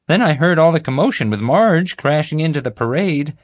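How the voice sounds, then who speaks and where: A man voicing a bumbling, proud security guard in an audiobook, boastful, oblivious and excitable. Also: fairly high pitch, brisk, no room sound, studio quality, voice pushed up, lightly acted. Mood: excited pride. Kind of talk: audiobook character voice.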